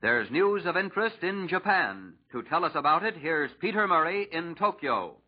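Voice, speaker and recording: A male radio news anchor from the 1940s with a fairly high voice and very lively intonation. He hands over to correspondents in a broadcast style. The vintage recording is dull and muffled. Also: normal speed, slightly rough.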